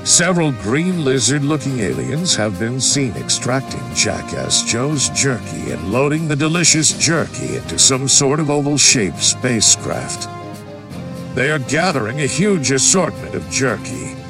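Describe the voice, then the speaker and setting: A man's voice: mid-range voice, big pitch swings, a little slow, vocal fry. Guy doing fake breaking news ad, big swoopy urgent read, music behind. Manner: urgent, dramatic newscaster delivery. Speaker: ad announcer. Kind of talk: mock news alert radio ad.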